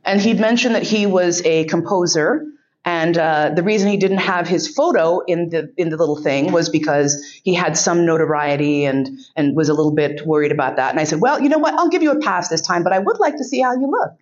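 A woman's voice: on the low side, fairly quick, a little mellow, muffled recording. A woman giving a keynote speech, telling a frank, profane story and laughing often.

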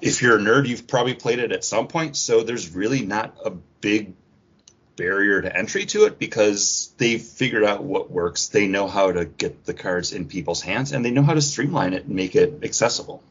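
Man, podcast co-host, lower pitch, husky, casual fan talk, dull recording. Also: normal speed, a bit bright. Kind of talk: casual news chat.

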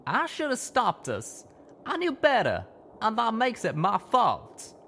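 Man doing a guilt-torn country pony colt, raised pitch, big swings, a faint hiss under it. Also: sing-song, normal speed. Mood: weeping. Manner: folksy drawl, strained and emotional. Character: young male pony, rough-spoken, guilt-torn and earnest.

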